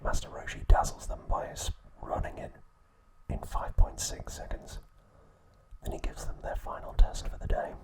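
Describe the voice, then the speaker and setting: A man speaks entirely in a whisper, in the style of ASMR narration. He relays trivia like a story, softly and without rushing, keeping the delivery hushed and breath-led. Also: low-quality recording. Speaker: ASMR host.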